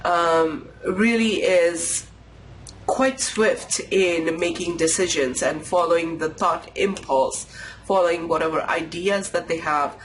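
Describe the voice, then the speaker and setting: Tarot host with a cold: nasal, slightly raspy, unhurried and intuitive, mid-range pitch. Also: a little hiss or hum, low-quality recording. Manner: unhurried, intuitive musing with pauses. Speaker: tarot reader. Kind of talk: pick-a-card tarot reading to camera.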